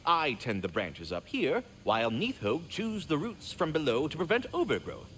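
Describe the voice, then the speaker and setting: Swooping, florid talking squirrel. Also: male voice, fairly high pitch, huge pitch swings, moderate pace, fairly bright tone, slight background noise. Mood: cheerful, instructive. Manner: florid, pompous, theatrical enunciation. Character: chatty, courtly talking squirrel, fussy and affable. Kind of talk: video game character acting.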